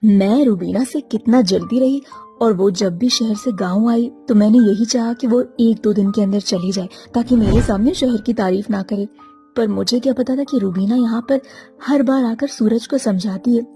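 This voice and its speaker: A jealous young village wife, voiced by a woman in a cartoon moral story. The voice is high and mellow, the manner pointed and sardonic. Music sits faintly behind. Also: moderate pace, natural voice. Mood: regretful.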